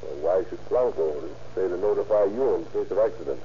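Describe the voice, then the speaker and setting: Man acting clipped detective, deep voice, old-time radio drama, muffled recording with faint noise. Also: a little fast, mellow, lightly acted. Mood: interrogating. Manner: clipped, probing questioning. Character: brisk, businesslike male police detective questioning witnesses.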